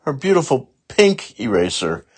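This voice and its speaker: A man's voice: mid-range voice, sing-song, moderate pace, slightly rough. A man narrating a children's storybook expressively, with dramatic emphasis on words. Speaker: children's book read-along narrator.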